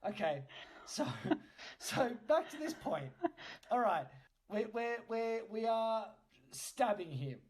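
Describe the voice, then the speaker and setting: A man's voice: very high-pitched, sing-song, slow pace, a little mellow. A man hosting a casual improvised comic role-play, asking questions in a mock-incredulous manner. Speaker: podcast host.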